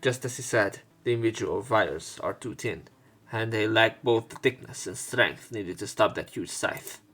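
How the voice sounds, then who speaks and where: Low-voiced man narrating a visual novel. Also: moderate pace, fairly bright tone. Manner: acts out lines and exclamations. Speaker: let's play host. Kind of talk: reading visual novel narration aloud dramatically, with commentary.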